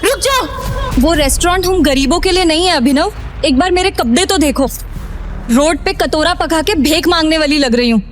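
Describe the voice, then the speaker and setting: Timid young woman, very high voice. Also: animated, normal speed, slight background noise. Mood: worried protest. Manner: pleading, worried. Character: poor, anxious young girlfriend, timid and self-effacing. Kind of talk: Hindi audio drama acting.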